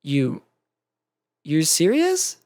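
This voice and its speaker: A story reader voicing an excitable, anxious, bookish female cartoon pony who stammers in disbelief. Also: mid-range voice, drawn-out words, exaggerated voice. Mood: shocked. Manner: stammering, incredulous, squealing outbursts.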